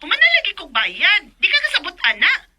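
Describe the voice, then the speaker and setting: A woman's voice: extremely high pitch, huge pitch swings, fairly quick, sharp tone, heavily acted. An actress with a Cebuano Filipino accent playing an irate young female customer in a radio drama, scolding and insistent. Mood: indignant. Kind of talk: Bisaya radio drama acting.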